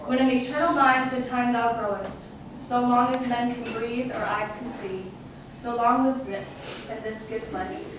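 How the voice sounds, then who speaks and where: A woman's voice: a little high, fairly even intonation, a little slow, lightly acted. A woman playing a wistful, lovelorn male Elizabethan playwright in a formal, declamatory theatrical monologue in archaic English. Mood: poetic, reverent. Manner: declamatory, formal, poetic delivery. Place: stage, live performance. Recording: echoey noisy stage, recorded from far off.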